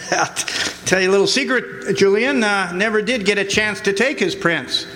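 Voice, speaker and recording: A man's voice: sits high, unhurried, sharp tone. A man playing a smug, folksy country sheriff in a radio drama, self-satisfied, peppering his talk with chummy asides. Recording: noisy reverberant hall stage recording.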